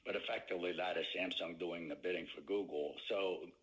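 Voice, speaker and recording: A man speaking as a company executive answering questions on an earnings call, with halting phrasing and many pauses. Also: sits low, fairly even intonation, a bit bright, low-quality recording.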